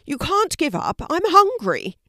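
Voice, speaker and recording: A woman voicing a small, cheeky, bossy male red panda in a children's storybook, demanding and excitable. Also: mid-range voice, measured pace, dry recording, clean studio sound.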